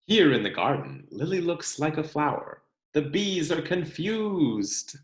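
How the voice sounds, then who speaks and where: An American man reads a children's picture book aloud with expressive, deliberate storyteller phrasing and the occasional casual aside. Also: fairly high pitch. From California, United States. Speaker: read-aloud host, teacher reading to children. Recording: echoey room.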